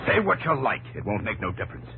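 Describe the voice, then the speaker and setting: Defiant plain-spoken mill hand, noisy radio. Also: male voice, on the low side, big pitch swings, fast, pushed and bright, full character voice. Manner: rough, ungrammatical talk. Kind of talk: 1950s radio drama acting. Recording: noisy old radio broadcast.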